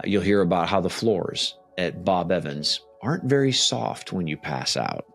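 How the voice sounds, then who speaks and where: A man's voice: low-pitched, gravelly. A man hosting a podcast and reading his own writing, speaking earnestly and straight to the listener. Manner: earnest, deliberate, direct address to listener. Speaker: podcast host and author. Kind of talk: reading own memoir aloud, then casual podcast talk.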